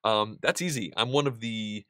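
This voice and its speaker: A man in his thirties hosting a board game channel, seated at a desk in a small studio and answering viewer questions in a relaxed, chatty way with plenty of ums and restarts. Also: fairly low pitch, normal speed, no echo. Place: indoor studio room with a wall of game pieces.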